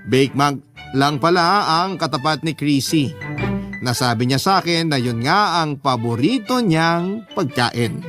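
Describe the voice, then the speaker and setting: Higher-pitched Filipino man narrating over violin. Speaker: radio host and story narrator. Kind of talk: dramatic scripted narration. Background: violin music.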